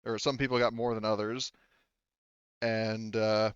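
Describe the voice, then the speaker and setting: A man's voice: fairly low pitch, level tone, measured pace, clear, resonant tone, no room sound, dull audio. A man casually running a tabletop roleplaying game as game master, chatting informally and swearing freely. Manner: informal, profane.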